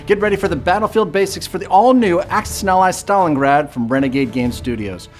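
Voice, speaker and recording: A man's voice: high-pitched, brisk, soft background music. A man hosting a board game channel, walking through the rules in a scripted, upbeat tutorial with jokey, self-deprecating asides. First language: English. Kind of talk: scripted how-to-play tutorial.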